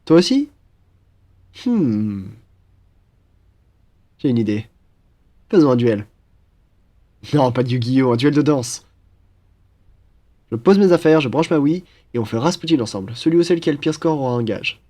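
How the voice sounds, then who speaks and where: A man's voice: medium pitch, expressive, moderate pace, pauses often, dark tone, natural voice. A man playing a playful, chatty young roommate. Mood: playful, teasing. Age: young adult. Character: cheerful, teasing young male student roommate, aspiring chef. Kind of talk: ASMR audio roleplay, casual one-sided chat.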